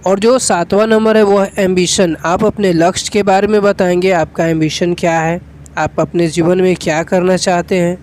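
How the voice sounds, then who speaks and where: A teacher giving a spoken English lesson, slowly explaining each step and repeating example phrases. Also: medium pitch, flat delivery, a little mellow, slight background noise.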